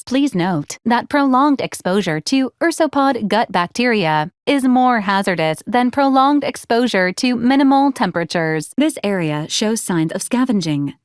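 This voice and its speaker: Woman acting as a polite deadpan lady robot sidekick from a game, super formal and literal, dry studio sound. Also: medium pitch, dry recording, natural voice. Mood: calmly cautioning. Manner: formal, clipped, deadpan, precisely worded. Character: polite, literal female robot companion, dryly cautious. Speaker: companion unit reporting to a commander. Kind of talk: scripted game voice lines, formal robotic advisories.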